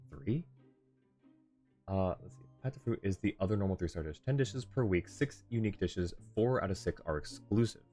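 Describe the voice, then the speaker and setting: Male streamer, low-pitched, casual and thinking aloud during live gameplay, faint game music behind. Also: darkish tone. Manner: thinks aloud, reading on-screen text and chat. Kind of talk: casual live gaming commentary. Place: indoor live stream setup.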